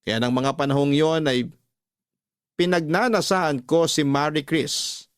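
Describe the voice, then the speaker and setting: An actor playing a brash womanizer confessing his affairs in first-person radio drama narration, telling it deliberately and without apology. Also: a little high, smooth, no room sound, clean studio sound, lightly acted. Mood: confiding.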